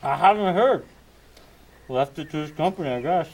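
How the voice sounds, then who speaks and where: Slow goofy gossip guy, a man hamming it up, lively pitch, hissy recording. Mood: joking. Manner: slow, laughing, goofy ad-libs. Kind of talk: ad-libbing comedic radio play reading.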